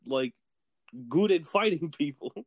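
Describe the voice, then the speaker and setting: A man's voice: a little high, animated, a little slow, no room sound, clean studio sound. A man with a Texan accent in casual chat as a podcast co-host, laughing easily and hedging his points with frequent fillers. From Texas. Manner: laughs easily, hesitant, lots of "like".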